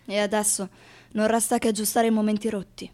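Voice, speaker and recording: A woman playing a sardonic, restless male writer in a theatrical radio reading, phrasing deliberately. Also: mid-range voice, little pitch movement, natural voice. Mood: wry, reflective. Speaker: stage actress performing a literary monologue.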